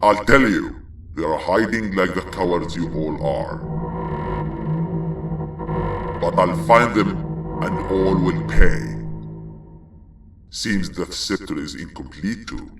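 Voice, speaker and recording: A man's voice: deep voice, measured pace. A man playing a vengeful monster villain in a video game, grandiose and theatrically menacing. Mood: threatening. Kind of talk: video game character acting. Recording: echoey, distant, music underneath. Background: music.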